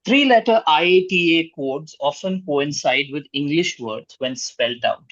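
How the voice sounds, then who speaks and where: A man hosting a quiz, reading out questions in a formal, measured way. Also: a little high, animated, fairly bright tone, no echo, dull audio.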